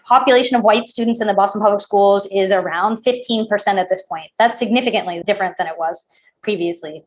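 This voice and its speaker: An American woman moderating a panel, framing questions in a measured, reflective way. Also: medium pitch, low-quality recording. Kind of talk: thoughtful discussion moderating.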